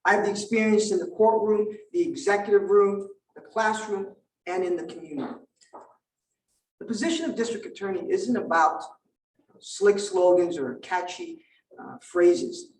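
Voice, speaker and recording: Middle-aged man born in Vietnam, native Vietnamese speaker, high voice, emphatic campaign delivery, distant mic in a roomy hall. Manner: emphatic, rhetorical lists with measured pauses. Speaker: political candidate at a forum. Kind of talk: campaign speech and forum answer.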